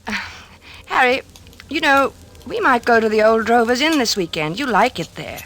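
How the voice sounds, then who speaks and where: An actress playing a patient, worried wife, gently questioning and coaxing in old-time radio drama style. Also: female voice, on the high side, animated, moderate pace.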